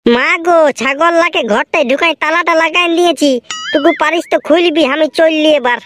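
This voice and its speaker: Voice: high-pitched, a little fast, over music, lightly acted. A performer voicing a hot-tempered, self-pitying cartoon villager who complains and blusters in a rural Purulia accent. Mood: complaining. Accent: Purulia, rural western Bengal. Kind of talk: comedy cartoon dialogue in rural dialect.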